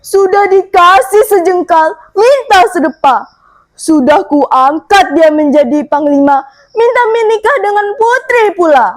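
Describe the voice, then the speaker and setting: A woman's voice: very high voice, a little slow, no room sound. A woman voicing an angry, scornful king in a folktale, scolding with indignation. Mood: indignant. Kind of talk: theatrical folktale storytelling.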